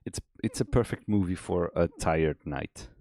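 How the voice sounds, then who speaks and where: A man with a cold, his voice extra deep, chatting easygoing and rambling with many restarts while streaming game development. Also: animated, normal speed, mellow, no room sound, clean studio sound. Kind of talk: casual chat on a dev stream.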